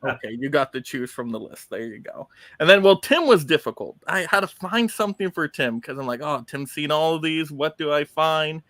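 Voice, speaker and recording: A man's voice: a little high, expressive, fairly quick, hardly any pauses, fairly bright tone, no echo. A man hosting a casual online chat with fellow creators, chatty and promoting his channel. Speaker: host.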